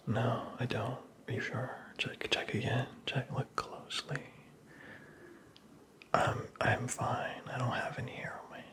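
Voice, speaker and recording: A man playing a flirtatious, flustered prince in an ASMR roleplay, speaking in a soft, breathy whisper with halting, teasing phrasing. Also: medium pitch. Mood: nervous, tender. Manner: halting, teasing, awkwardly self-correcting.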